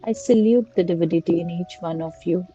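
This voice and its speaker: A woman slowly guiding a prayer and meditation in a soft, repetitive litany of blessings. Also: lowish voice. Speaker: meditation guide. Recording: dull lo-fi recording with faint music. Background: faint music.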